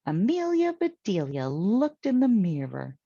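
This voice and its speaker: A woman with an American accent reads a children's story aloud in an animated, deliberate way. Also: medium pitch, husky, no room sound, muffled recording. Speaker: storytime reader.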